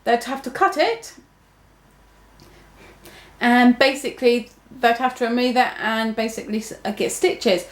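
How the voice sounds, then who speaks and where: A young woman talking casually to her viewers, rambling with many fillers and restarts. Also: a little high. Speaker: vlogger. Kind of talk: casual talk to camera. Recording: echoey room.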